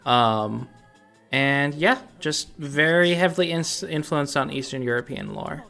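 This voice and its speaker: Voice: medium pitch, animated, unhurried, gravelly, bright, forceful tone. A podcast co-host, chatting casually about video games and explaining things in a hesitant, thoughtful way. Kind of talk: casual video game discussion.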